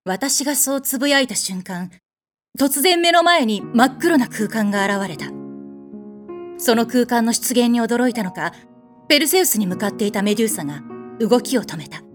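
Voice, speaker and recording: Actress as composed goddess narrator over music. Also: female voice, fairly high pitch, lively intonation, normal speed, natural voice. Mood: composed, dramatic. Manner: composed, dramatic storytelling. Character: female goddess narrating her story. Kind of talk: scripted audio drama narration.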